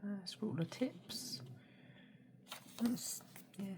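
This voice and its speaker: A woman with a hoarse, snuffly cold, chatting casually and quietly as she unboxes art supplies, with many hesitations. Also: medium pitch, very slow. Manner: soft-spoken, often drops to a near whisper; mildly critical, hesitant with many ums. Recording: steady background noise.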